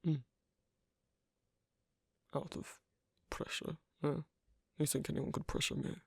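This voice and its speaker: A man playing a guarded, flirtatious loner werewolf in an ASMR roleplay, speaking hesitantly and teasingly in a breathy, husky near-whisper. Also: on the high side, flat delivery, slow, darkish tone, no room sound, clean studio sound, exaggerated voice. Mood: reluctant, defiant. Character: gruff, guarded, flirtatious asocial werewolf man on a first date. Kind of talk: ASMR roleplay, intimate one-sided dialogue.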